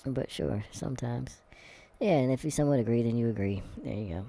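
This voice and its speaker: A man reading an online quiz aloud in a casual vlog, answering it with sarcastic, deadpan asides and dark comic rants. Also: medium pitch, a little fast, vocal fry, no echo. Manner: reads quiz text aloud, stumbling and muttering. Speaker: vlogger. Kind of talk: casual commentary while taking an online quiz.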